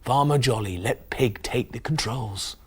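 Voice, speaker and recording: A man in his forties reading a children's bedtime story expressively, with dramatic, hushed emphasis. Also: medium pitch, unhurried, slightly rough. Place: small TV studio kitchen set, indoors. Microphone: lapel mic.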